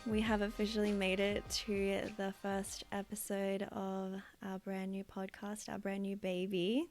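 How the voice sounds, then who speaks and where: A woman's voice: medium pitch, monotone, measured pace, darkish tone, soft background music. An Australian woman in her thirties, co-hosting a casual podcast in a chatty way with frequent fillers and easy laughter. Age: 30s. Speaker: podcast co-host. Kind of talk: casual chat.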